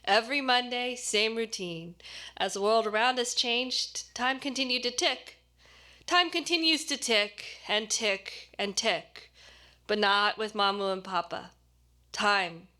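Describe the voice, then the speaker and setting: A woman actor reading a short story aloud as expressive scripted narration. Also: on the high side, unhurried.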